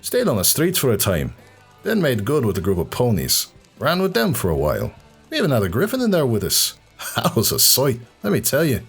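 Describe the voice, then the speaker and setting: A man doing a husky, surly drunken Irishman. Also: mid-range voice, huge pitch swings, fairly quick, faint music behind, full character voice. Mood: guarded, bitter. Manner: gruff, sardonic, swearing. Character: surly drunken brawling Irishman with a foul mouth. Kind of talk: fan fiction audio reading, character acting.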